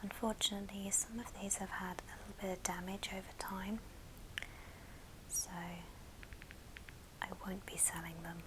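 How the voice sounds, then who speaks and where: A woman whispering breathily and gently in an ASMR show and tell, leaving pauses between short phrases. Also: mid-range voice, fairly even intonation, noisy background. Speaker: ASMR video host.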